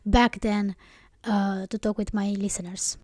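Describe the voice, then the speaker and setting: A woman's voice: mid-range voice, little pitch movement, a little slow, darkish tone, no room sound, studio quality. A woman from Romania, an English speaker, hosting her podcast in a reflective, rambling way that keeps correcting itself. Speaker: podcast host. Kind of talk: casual solo podcast reflection.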